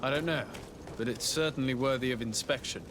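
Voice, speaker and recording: An earnest, dutiful young nobleman played by a man in a fantasy game. His voice sits at a middle pitch and is courteous and measured, with clear noise behind it. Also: close to an everyday voice. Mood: calm, courteous. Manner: polite, measured, formal. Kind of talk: video game drama acting.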